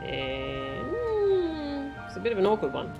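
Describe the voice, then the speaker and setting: A woman's voice: a little high, huge pitch swings, takes it slowly, creaky, muffled recording, over music. A woman giving casual live commentary as she streams a video game.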